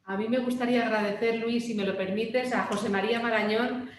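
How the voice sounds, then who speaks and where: A woman's voice: fairly high pitch, steady tone, a little fast. A woman with a Spain Spanish accent, an administrative project director, earnestly thanking a colleague in long sentences. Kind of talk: thanking a colleague at the end of a talk. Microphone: video call. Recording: very echoey room, faint noise, video call.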